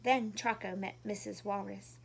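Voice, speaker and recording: A woman doing an animated read-aloud for young children as a storytime reader. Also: mid-range voice, normal speed, creaky, breathy edge.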